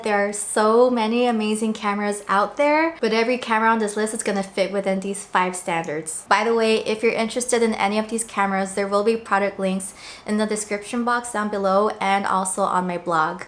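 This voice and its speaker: A woman in her late twenties chats to her viewers in a relaxed, upbeat manner, explaining things step by step. Her voice sits at a middle pitch and sounds slightly husky from a swollen throat. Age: late 20s. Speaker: vlogger and blogger. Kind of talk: casual talk to camera. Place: indoors at home.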